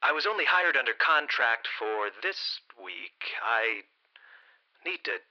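A man's voice: mid-range voice, slow. A man as a radio announcer giving a jokey sign-off for the program. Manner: jokey, lively announcer patter. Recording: dull lo-fi radio sound.

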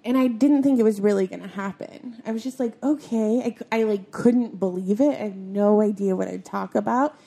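A young woman with a squeaky voice, chatting on a podcast and telling stories with frequent giggles. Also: fairly quick, vocal fry, hardly any pauses, warm tone. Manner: giggly, chatty storyteller, laughs often. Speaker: podcast guest. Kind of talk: casual chat.

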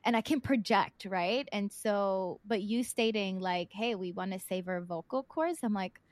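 Female podcast host, relaxed reflective interviewer, mid pitch, dry sound. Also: a little slow, dry recording. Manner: reflective, often adds "right?". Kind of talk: casual interview chat.

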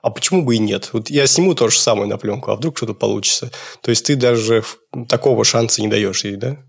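A man's voice: lowish voice, quick pace, gravelly, breathy edge. A man hosting a podcast, chatting casually and asking curious questions. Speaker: podcast host.

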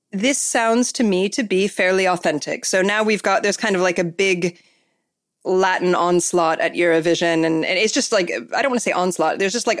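A woman hosting a podcast, chatting casually and enthusiastically, with lots of fillers and restarts. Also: fairly low pitch. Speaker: podcast host. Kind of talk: casual chat.

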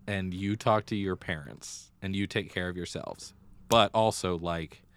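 An American man with a low, smooth voice talks casually as a podcast co-host. His delivery is wry, and the close recording has very little room sound. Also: a little slow, fairly bright tone, dry recording. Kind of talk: casual theatre news chat.

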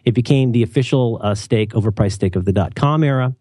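A man's voice: medium pitch, a little fast, dry recording, clean studio sound. Opinionated, jokey man. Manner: animated, opinionated and jokey, with many restarts. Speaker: podcast host. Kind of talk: casual chat.

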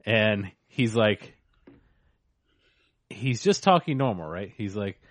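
A man's voice: lowish voice, lively intonation, slow, no room sound. Casual guy, close dry sound. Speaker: podcast co-host. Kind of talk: casual banter.

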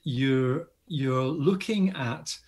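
An Australian man with a slightly husky voice, speaking as an astronomer guest in an interview. He explains at length and modestly, with a few quick laughs at his own expense. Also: mid-range voice, darkish tone. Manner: explanatory, self-deprecating, laughs often.

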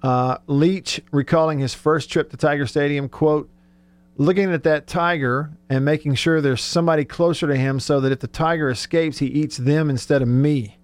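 Male sports talk host, pitch a bit above average, loose conversational delivery. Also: steady tone, normal speed, clean tone, dry recording.

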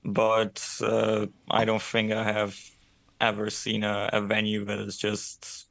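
A band member speaks in relaxed, fluent English. His voice sits a little low, recorded dry and close. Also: slow, gravelly, no room sound.

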